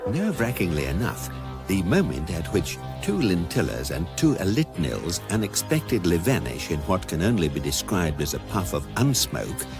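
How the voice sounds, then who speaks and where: An actor playing the dry, erudite narrator of a science-fiction radio comedy, reading wordy script in a deadpan manner. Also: male voice, sits low, normal speed, over music. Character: dry, erudite male narrator of absurd space guide.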